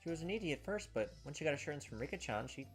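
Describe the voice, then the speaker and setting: A man's voice: a little high, sing-song, racing. An American man reading a game script aloud as a let's play host, with informal, salty asides. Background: faint game music.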